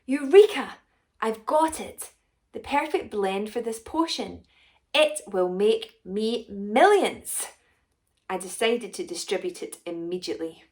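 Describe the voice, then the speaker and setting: A woman voicing a short, rich, excitable female alien potion maker in a children's story, self-important and exclamatory. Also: medium pitch, a little slow. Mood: excited, proud. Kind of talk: first-person children's story narration.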